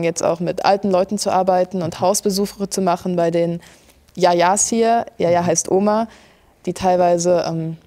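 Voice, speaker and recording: A woman's voice: lowish voice, mellow. An eighteen-year-old woman from Germany with a German accent, answering informally in an interview as a volunteer. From Leipzig, Germany.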